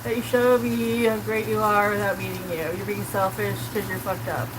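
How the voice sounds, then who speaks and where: A woman's voice: mid-range voice, flat delivery, moderate pace. A woman in her forties with a South Kansas accent, chatting casually on a live stream while cooking in her home kitchen, rambling and leaning on "like" as she answers her chat. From Colorado. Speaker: livestreamer. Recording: sizzling pan noise behind. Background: sizzling pan.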